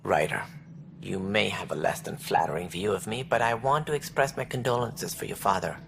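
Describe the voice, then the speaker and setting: An actor playing a calculating, self-assured alien director in a video game, speaking in a measured, formal, condescending way. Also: male voice, lowish voice, creaky, a bit bright. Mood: formal, grave. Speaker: director of a space initiative. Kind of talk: video game cutscene acting.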